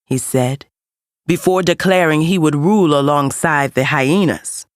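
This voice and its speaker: A woman reading a scripted children's read-along story as an expressive storyteller. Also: deep voice, measured pace, no room sound, clean studio sound. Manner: expressive storytelling with character voices. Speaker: storybook narrator.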